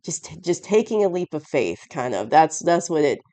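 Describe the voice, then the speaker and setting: A tarot reader with a raspy voice, hoarse and stuffed up from a cold, giving a casual reading and often searching for words mid-sentence. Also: medium pitch, very animated, normal speed, dark tone. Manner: rambling, searching for words with many restarts; chuckles often while explaining.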